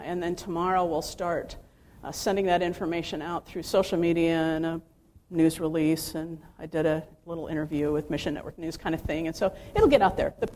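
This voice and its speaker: A woman's voice: mid-range voice, slight background noise. A woman in her fifties giving a personal, faith-centered talk to staff, chatty and self-deprecating, with many fillers and restarts. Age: 50s. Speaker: speaker addressing ministry staff.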